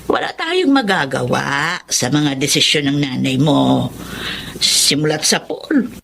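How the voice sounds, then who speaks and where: A woman's voice: sits low, big pitch swings, takes it slowly, vocal fry, fairly bright tone, a little hiss or hum, heavily acted. An actress with a Filipino accent playing a caring, resigned elderly grandmother in a radio drama.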